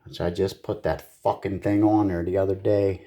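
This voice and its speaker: A man's voice: low-pitched, level tone, moderate pace, mellow. A man giving casual, hands-on repair commentary in a blunt, salty way, swearing freely as he goes. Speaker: repair video host.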